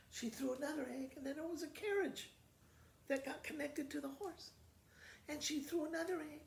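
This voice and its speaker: A man reading a storybook aloud as an expressive storyteller, with frequent pauses. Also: extremely high pitch, creaky. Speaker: school principal reading to pupils. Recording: echoey room with faint noise.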